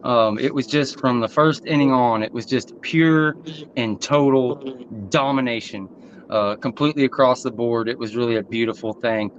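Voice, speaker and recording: A man's voice: medium pitch, normal speed. Man hosting sports chat, rambling, lots of fillers, dull lo-fi sound, slight hiss. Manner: loose, rambling, filler-heavy. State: sweaty, rushed. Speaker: podcast host. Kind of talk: casual sports talk show.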